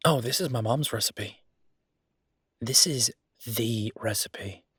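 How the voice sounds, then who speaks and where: A man playing an affectionate, playfully grumbling young werewolf chef in an intimate, casual boyfriend roleplay audio, chatty and halting. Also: medium pitch, a bit bright, husky, no echo, studio quality, heavily acted. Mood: fond, enthusiastic.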